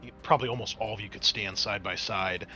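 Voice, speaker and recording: Bright-voiced man running a tabletop game. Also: fairly low pitch, steady tone, fast talker, faint music behind. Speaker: game master. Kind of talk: explaining tabletop game rules casually.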